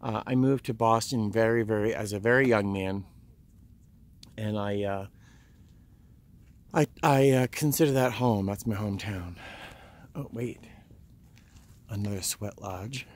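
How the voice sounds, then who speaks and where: A man's voice: fairly low pitch, unhurried, long pauses. An American man from Rhode Island chatting casually to the viewer as he explores, thinking aloud in a wry, musing way. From Rhode Island, United States. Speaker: vlogger. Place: outdoors and inside small huts at a tourist site. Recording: outdoor ambient noise, handheld camera.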